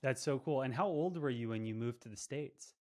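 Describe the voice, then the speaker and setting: A man hosting a casual podcast interview in an average-pitched voice. He sounds upbeat and eager, often restarting his questions midway. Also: brisk, a little mellow. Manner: upbeat, enthusiastic, stumbling restarts. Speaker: podcast host.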